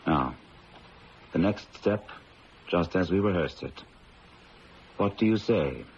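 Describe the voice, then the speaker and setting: An actor playing a ruthless male diamond thief in a 1970s radio drama, speaking in a calm, measured, quietly menacing way. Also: sits low, gravelly, full character voice. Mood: commanding. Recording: lo-fi old radio broadcast with hiss.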